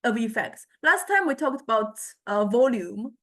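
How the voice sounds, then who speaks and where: A woman with a Chinese accent and a fairly high-pitched voice teaches an informal software class. She speaks haltingly, repeating words as she goes and often adding small checking tags. She talks into a small condenser microphone. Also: expressive. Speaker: university lecturer in music technology. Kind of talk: informal software tutorial lecture.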